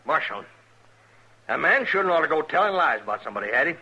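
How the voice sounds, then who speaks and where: An actor playing an aggrieved, hot-tempered, slow-witted cattle drover with a rural American Western drawl, roundabout and folksy, in 1950s radio style. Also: male voice, a little high, very animated, bright, forceful tone, husky, muffled recording, exaggerated voice. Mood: indignant. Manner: roundabout, folksy, self-righteous. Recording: noisy old radio recording.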